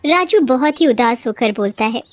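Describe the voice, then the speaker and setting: A woman's voice: fairly high pitch, lively intonation, moderate pace, no echo. A woman storyteller reading a scripted children's story as narrator.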